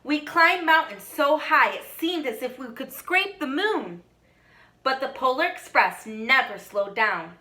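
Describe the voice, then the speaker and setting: A woman reading a children's story aloud, with expressive, dramatic storytelling. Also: sits high, measured pace. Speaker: storybook reader. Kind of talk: children's read-aloud narration.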